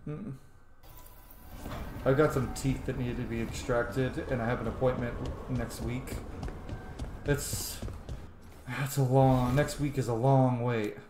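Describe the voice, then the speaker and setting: A man's voice: mid-range voice, steady tone, takes it slowly, long pauses, darkish tone. A man giving casual, rambling let's-play commentary, gushing and swearing freely as he goes. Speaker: gaming streamer playing and commenting. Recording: roomy echo with faint background noise.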